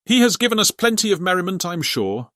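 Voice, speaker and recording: A merry young gentleman, acted by a man in an audiobook drama. The voice is bright and fairly high, rising and falling with lively swings. It is hearty, good-natured and exclamatory. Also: brisk, no room sound, studio quality.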